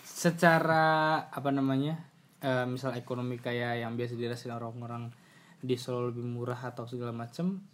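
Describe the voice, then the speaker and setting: A man's voice: mid-range voice, level tone, moderate pace. A young man from Kebumen with a Banyumasan ngapak Javanese accent, chatting casually as a student guest on a podcast. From Kebumen, Central Java. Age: young adult. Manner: loose storytelling with fillers and asides. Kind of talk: casual chat.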